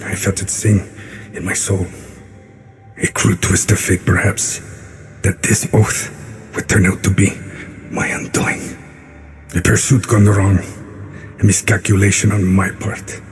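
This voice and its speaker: An actor playing a brooding, remorseful outcast assassin in a dramatic monologue, speaking solemnly in deliberate, halting phrases. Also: male voice, lowish voice, vocal fry. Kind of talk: dramatic first-person monologue. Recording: echoey reverb, music behind.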